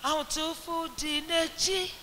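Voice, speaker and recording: A woman's voice: extremely high pitch, steady tone, unhurried, bright, forceful tone. A woman, giving an earnest, preacherly testimony in a church interview, speaking into a handheld mic on stage. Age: 80s. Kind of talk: testimony and exhortation. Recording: echoey hall with faint hiss.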